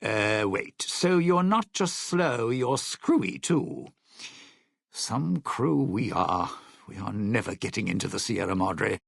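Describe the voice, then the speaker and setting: An actor playing a smug, suspicious old lounge singer and thief in a video game, with a raspy, gravelly voice and a sardonic showman's delivery. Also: on the low side, animated, slow, fairly bright tone, no echo, clean studio sound, exaggerated voice. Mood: wary, mocking. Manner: sardonic, slangy showman's patter. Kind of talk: in-game character dialogue and epilogue narration.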